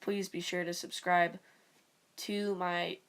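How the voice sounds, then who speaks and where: A woman with a raspy voice and a stuffy nose, giving rambling, casual sports commentary for her video channel. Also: lowish voice, level tone, slow, a bit bright. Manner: rambling, full of "like" and "you know". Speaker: video creator.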